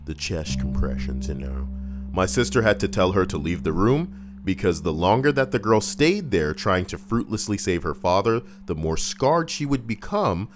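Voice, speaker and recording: A man's voice: sits low, very animated, moderate pace. A man reading stories aloud on a livestream, chatting casually and reacting to what he reads. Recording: guitar music playing underneath.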